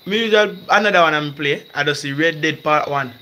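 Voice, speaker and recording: A man's voice: fairly high pitch, a little fast, sharp tone, slight background noise. A young man with a Jamaican accent, chatting casually as he hosts a livestream on a handheld phone. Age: young adult. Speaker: livestream host. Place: home kitchen and bedroom, indoors. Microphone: phone held at arm's length.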